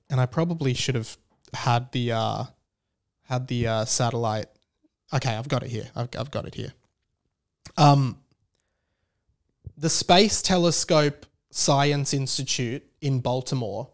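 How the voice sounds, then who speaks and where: A man hosting a casual comedic solo podcast, rambling with stammered restarts and animated, incredulous emphasis. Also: a little high, clean tone. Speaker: podcast host.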